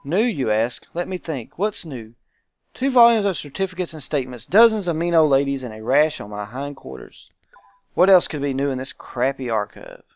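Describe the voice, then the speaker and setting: A man's voice: mid-range voice, lively intonation, normal speed, no echo, studio quality, natural voice. A man voicing a grumpy, sarcastic fat clerk from a visual novel, dismissive and easily bothered. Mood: sardonic. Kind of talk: visual novel character read-aloud.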